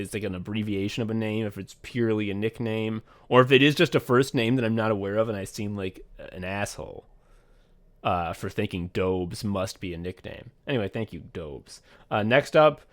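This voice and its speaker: A young man co-hosting a casual podcast, rambling loosely, laughing often and swearing freely. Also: fairly low pitch. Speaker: podcast co-host.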